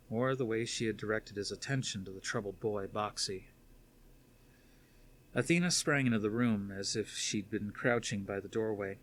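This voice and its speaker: A man's voice: lowish voice, slight background noise. A man reading a novel aloud as scripted story narration. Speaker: audiobook narrator.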